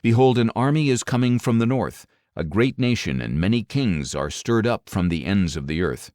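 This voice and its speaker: A man narrating scripture as an audiobook in a solemn, dramatic style, measured and emphatic, with phrases that fall away at the end. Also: fairly low pitch, lively intonation, darkish tone, no room sound, studio quality.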